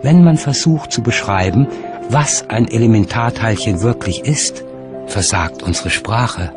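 Low-voiced male documentary narrator over music. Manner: measured, articulate. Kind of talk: scripted narration.

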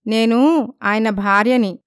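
A woman's voice: on the high side, takes it slowly, clean tone, dry recording, studio quality. A woman voicing an anxious young wife in a cartoon story, polite and plaintive.

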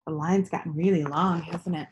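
A woman's voice: low voice, steady tone, moderate pace, darkish tone, muffled recording, slight background noise. A woman in her thirties reading aloud for young children, teacherly and prompting with questions. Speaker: read-aloud storyteller. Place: small living room indoors.

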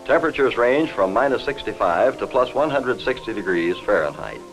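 An American man reading formal, measured, factual scripted narration in the style of a 1950s industrial newsreel, on a vintage film soundtrack. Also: medium pitch, animated, faint music behind, low-quality recording.

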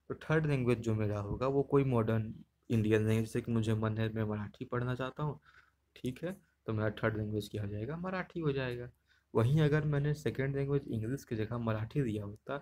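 A man with a Hindi accent walks through an exam-prep lesson, annotating slides as he talks. His voice sits at a middle pitch. A faint hiss runs under the screen recording. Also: darkish tone. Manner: explanatory, repeats points, checks with "ठीक". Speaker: teacher. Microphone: screen recording, unseen speaker.

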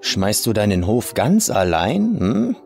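Wary male drifter, acted by a narrator, horror audio story: ingratiating, animated intonation, faint music underneath. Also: on the low side, slow, creaky, full character voice. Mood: probing. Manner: ingratiating, casual. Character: wary male hitchhiker on the run, feigning politeness.

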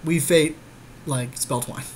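A man bantering casually as he comments on a game, animated and mock-exasperated. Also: a little high, slow pace, slight background noise. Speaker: podcast co-host commenting on a card game.

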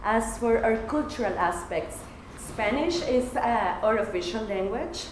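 Young woman from Mexico, student presenter, earnest and anecdotal; far camera, echoey classroom, steady hiss. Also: a little high, unhurried. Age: young adult. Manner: earnest, anecdotal, light humor. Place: classroom at a lectern.

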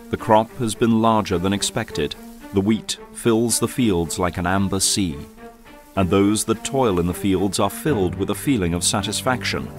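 A male narrator tells a scripted drama in a low voice. His delivery is solemn and descriptive, with loud music running underneath. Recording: loud music and effects behind.

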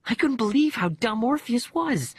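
A man voicing a bereaved young boy who narrates his diary letters in an expressive, conversational audiobook reading. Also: very high voice, moderate pace, breathy edge, dry recording, studio quality, pitched above the performer's own voice, exaggerated voice. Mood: animated, incredulous.

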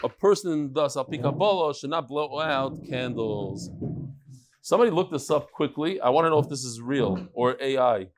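Chatty rabbi reading emails in class. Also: male voice, medium pitch, normal speed, a little hiss or hum. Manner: lively, chatty, asides to the room, Hebrew and Yiddish terms. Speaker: Talmud teacher. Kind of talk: reading emails aloud in a daily Talmud class. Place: large study hall with wood paneling.

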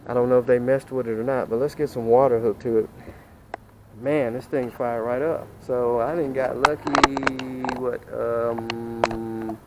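A man's voice: medium pitch, little pitch movement, unhurried. A man talking casually and folksily, thinking aloud as he works on a repair outdoors, on a camera mic at changing distance. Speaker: DIY mechanic vlogger. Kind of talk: casual hands-on repair vlog. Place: outdoors on a residential driveway. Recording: noisy outdoor camera audio.

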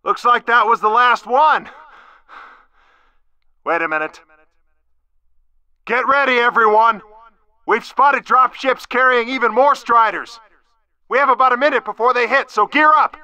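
An alert military base announcer in a war game. His voice is very high for a man, piercingly bright and clean. He calls out warnings in clipped, urgent phrases. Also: unhurried, long pauses, clear, resonant tone, dry recording, highs cut off, heavily acted.

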